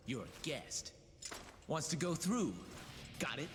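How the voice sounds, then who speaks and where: In a long, noisy reverb, a cocky and impatient video game demon hunter. He drawls slowly with brash sarcasm, his fairly high voice moving around a lot. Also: animated, over music. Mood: exasperated. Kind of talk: video game cutscene acting.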